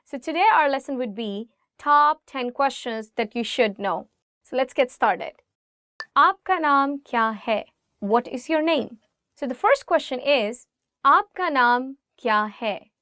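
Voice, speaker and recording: A woman with a Pakistani accent and native Urdu teaches phrases as a lesson host. Her voice is on the high side, clean and resonant. She pronounces examples deliberately, in a dry recording with very little room sound. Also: animated, bright, forceful tone, clear, resonant tone, dry recording. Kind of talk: language lesson, phrases given in two languages.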